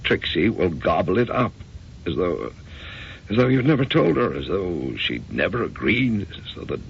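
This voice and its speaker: An actor playing a bewildered, conventional middle-aged American husband in a radio drama, with a gravelly, hesitant delivery. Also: male voice, low voice, very animated, slight background noise, exaggerated voice. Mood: bewildered, distressed. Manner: hesitant, halting. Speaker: actor and first-person narrator. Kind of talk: old-time radio drama acting. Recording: dull, muffled radio drama recording.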